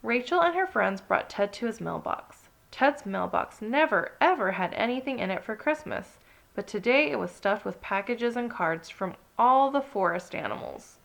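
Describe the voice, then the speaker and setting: A woman narrating a children's read-along story with expressive, dramatic emphasis. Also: on the high side, moderate pace, gravelly.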